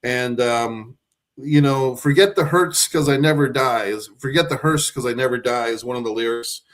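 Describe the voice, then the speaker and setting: A man co-hosting a podcast sits at a middle pitch and tells stories about the songs he loves. His talk is loose and meandering, full of restarts and trailing asides. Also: normal speed. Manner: rambling, with frequent restarts. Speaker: podcast co-host. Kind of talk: casual chat about favorite songs.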